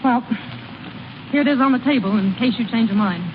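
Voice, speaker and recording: An earnest young small-town woman played by an actress in a vintage radio drama. Her coaxing, direct voice is fairly high, coming through a thin, phone-like old broadcast. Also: fairly quick, natural voice. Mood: earnest, urging. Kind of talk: 1930s-40s radio drama acting. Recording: thin, narrow-band vintage radio recording, faint noise.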